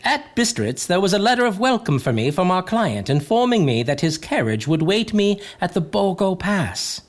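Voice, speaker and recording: A man's voice: high voice, big pitch swings. An actor playing an anxious young English lawyer's clerk recounting his journal in a hesitant, foreboding audio drama style. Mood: earnest. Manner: hesitant, foreboding, with halting repetitions. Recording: clear background noise, carriage ambience.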